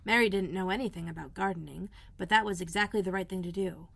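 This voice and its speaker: A woman narrating a children's storybook as a read-aloud host, in a measured, expressive storytelling manner. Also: on the low side, gravelly. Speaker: elementary school librarian, host.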